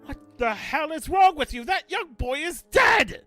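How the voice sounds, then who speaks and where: A man's voice: very high voice, sing-song, unhurried, fairly bright tone, exaggerated voice. A man playing a desperate, bitter middle-aged father in a fan audio drama, melodramatic and indignant. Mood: outraged. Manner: outraged, pleading, melodramatic. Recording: music under the voice.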